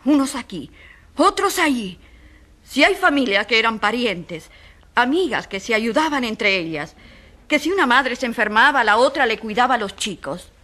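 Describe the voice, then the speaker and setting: A woman's voice: fairly high pitch, lively intonation, normal speed, pushed and bright. An actress in a radio drama playing a passionate, indignant woman who defends the poor, speaking emphatically in repeated, building lists. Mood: bitter, indignant.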